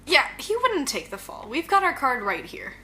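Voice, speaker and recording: A woman's voice: high-pitched, big pitch swings, normal speed, pushed and bright, slight background noise, exaggerated voice. A woman playing a brash, confident young supervillain in an audio drama, blunt and punchy. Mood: irritated, blunt.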